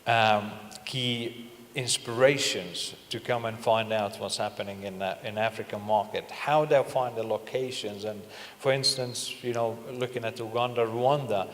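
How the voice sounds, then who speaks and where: A middle-aged man moderating a panel, asking questions in a halting way with non-native phrasing. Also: lowish voice, fairly even intonation, fairly bright tone. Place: large indoor conference stage. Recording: echoey, reverberant conference hall.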